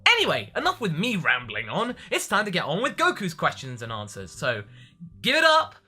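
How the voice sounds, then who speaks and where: Guy vlogger doing a q&a, highish bright voice, really expressive and up and down. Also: fairly quick, slightly rough. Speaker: vlogger and anime parody voice actor. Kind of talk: answering viewer questions to camera.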